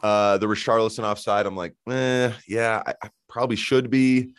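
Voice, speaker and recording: A man hosting a casual football podcast, joking and animated, with frequent restarts. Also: fairly low pitch, moderate pace, smooth, dry recording. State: hoarse, voice shot in intro. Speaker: podcast host.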